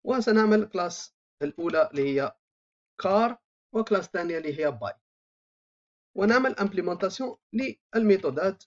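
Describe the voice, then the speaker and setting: A coding teacher talks through a screen recording, pausing often on long filler sounds. The pitch swings up and down a great deal. The voice comes through a dull, lo-fi microphone. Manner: hesitant, frequent drawn-out filler sounds, thinking aloud while typing. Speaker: programming instructor. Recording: dull lo-fi voice-over mic.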